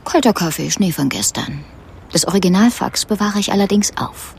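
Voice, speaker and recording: A sardonic lawyer in a radio drama. Her pitch swings in a lively, coy way with a creaky edge, against faint outdoor ambience. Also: normal speed, slight background noise. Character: sardonic, needling female lawyer, an old acquaintance. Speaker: actress in supporting part. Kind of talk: radio play dialogue.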